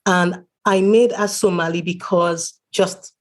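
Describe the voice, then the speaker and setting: A woman's voice: fairly low pitch, steady tone, takes it slowly. A woman from Nigeria speaking as an author guest in a conversational interview, earnest and explanatory.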